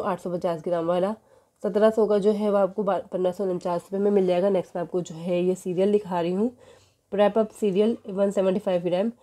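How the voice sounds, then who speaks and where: Flat-voiced woman reading out sale prices. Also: medium pitch. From Pakistan. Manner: steady price listing with filler "jo hai". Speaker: shopping vlogger. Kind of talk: casual product walkthrough. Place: indoors in a supermarket.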